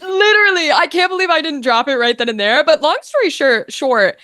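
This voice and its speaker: A young woman chatting casually as an interview guest, telling her story in an animated, informal way. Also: high voice, brisk, no room sound, studio quality. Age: young adult. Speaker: guest, voice actor.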